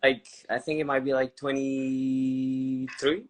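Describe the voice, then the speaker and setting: A man's voice: medium pitch, level tone, soft background music, low-quality recording. Young man with a Quebec French accent. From Montreal, Canada. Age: 20s. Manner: halting second-language English with many hesitations. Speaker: guest, MMA fighter. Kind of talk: casual podcast interview.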